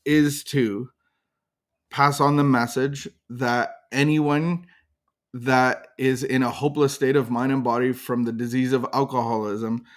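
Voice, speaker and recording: Casting: mid-pitched man, podcast co-host, preachy and emphatic. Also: little pitch movement, measured pace. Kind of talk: casual recovery podcast chat.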